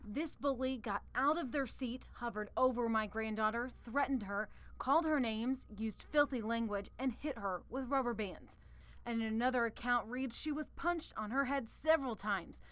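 High-pitched woman reporting the news. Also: moderate pace, a bit bright. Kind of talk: scripted news narration.

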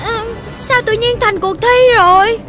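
A woman's voice: very high voice, unhurried. A woman voicing Đốm, an eager young puppy child, in a cartoon over music. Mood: excited. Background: background music.

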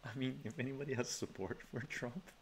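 A middle-aged man from Canada hosting a relaxed ASMR live stream from his home study, chatting softly, chuckling, often adding "right?". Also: medium pitch, a little fast, slight background noise. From Canada, of Armenian descent from Iran. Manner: soft-spoken, chuckling, chatty asides with frequent "right?". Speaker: live-stream host reading chat. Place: home study lined with bookshelves. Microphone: webcam framing at desk.

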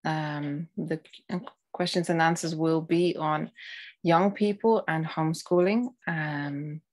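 A woman in her thirties hosting an informal interview on a video call, speaking in a halting, hesitant way. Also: deep voice, darkish tone. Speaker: interviewer, host. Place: room indoors, on a video call.

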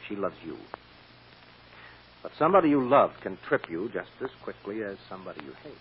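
An actor playing a firm, shrewd defense lawyer with a blunt, emphatic manner in an old-time radio drama. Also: male voice, fairly low pitch, very animated, brisk. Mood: blunt, pressing. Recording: muffled, hissy old radio recording.

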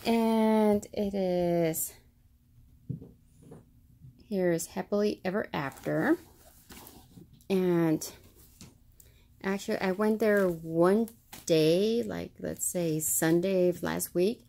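Slow-paced woman, casual shopping vlogger. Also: on the low side, gravelly, mellow. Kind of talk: casual narrated show-and-tell. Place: carpeted room at home.

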